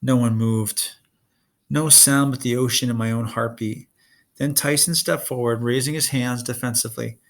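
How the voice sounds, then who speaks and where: Gravelly male storybook narrator. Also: mid-range voice, fairly even intonation, moderate pace. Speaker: reader of a children's fantasy novel read-along. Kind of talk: expressive audiobook-style narration.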